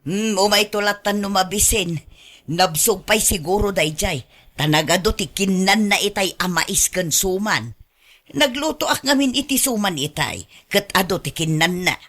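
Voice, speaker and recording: A woman's voice: medium pitch, animated, normal speed, fairly bright tone. A woman playing a fussy, complaining middle-aged mother-in-law in a radio drama, with an Ilocano accent. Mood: weary, guarded. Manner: complaining, emphatic. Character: exasperated, doting older mother-in-law who does all chores.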